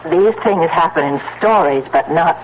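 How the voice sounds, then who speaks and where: An actress playing an anxious, sceptical housewife in an old-time radio drama, speaking in a worried, doubtful and reproving way. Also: female voice, low voice, moderate pace, close to an everyday voice. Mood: hesitant, doubtful. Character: anxious, sceptical housewife humouring her headstrong husband. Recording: tinny, band-limited old radio recording, faint hiss.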